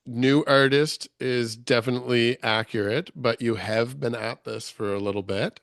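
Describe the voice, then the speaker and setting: A man's voice: medium pitch, steady tone, moderate pace, vocal fry, fairly bright tone, no echo, studio quality. A man hosting a casual interview, asking questions in a hesitant way with restarts. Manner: hesitant, many ums and restarts. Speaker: interview host.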